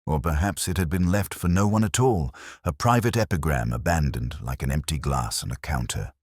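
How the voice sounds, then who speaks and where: A man narrating a first-person detective story as a brooding police inspector, in a measured, literary, reflective manner. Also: extremely low pitch, darkish tone, husky, no echo, studio quality.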